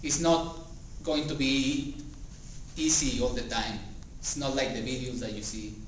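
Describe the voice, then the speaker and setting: A man who speaks English as a second language gives a personal motivational speech to a live audience, halting and pausing deliberately as he goes. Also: mid-range voice, steady tone. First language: not English. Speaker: club member giving a practice speech. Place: indoor meeting room before a small audience. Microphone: distant camera or room microphone. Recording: very echoey room, distant mic, faint noise.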